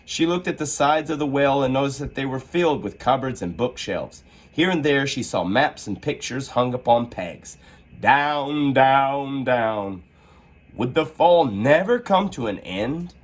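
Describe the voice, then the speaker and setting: A man reading a children's storybook aloud as an expressive storyteller. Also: medium pitch, measured pace.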